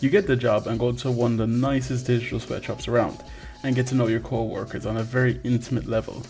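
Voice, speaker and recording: A man's voice: medium pitch, flat delivery, fast talker, darkish tone, faint music behind. A man reading a scripted video game review in a wry, tongue-in-cheek way.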